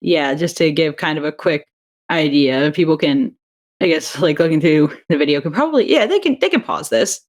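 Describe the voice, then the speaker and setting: A woman's voice: low voice, expressive, moderate pace. A woman guest on a podcast, an audio drama creator, talking chattily with many fillers. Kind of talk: casual interview chat.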